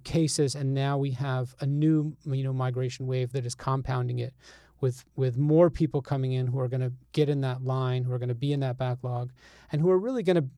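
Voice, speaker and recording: A man with a warm, smooth voice of medium pitch gives measured explanations as a reporter being interviewed. He picks his words carefully and hesitates as he goes, and the sound is dry and close. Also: no echo. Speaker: newspaper reporter as interview guest.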